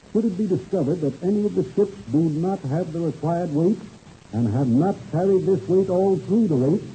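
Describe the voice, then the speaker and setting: An actor playing a formal, firm air-race official who speaks precisely and with authority in a 1930s radio serial. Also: male voice, a little high, mellow, lightly acted. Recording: muffled, hissy old radio recording.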